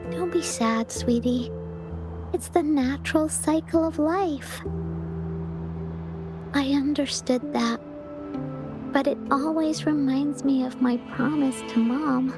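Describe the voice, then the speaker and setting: A soft wistful young girl. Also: high-pitched. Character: gentle young girl missing her mother. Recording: game soundtrack music behind.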